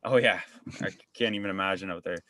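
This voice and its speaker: A man's voice: deep voice, fairly even intonation, quick pace, sharp tone. A young man hosting a podcast, chatting casually and often restarting his sentences. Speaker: podcast host. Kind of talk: casual conversation.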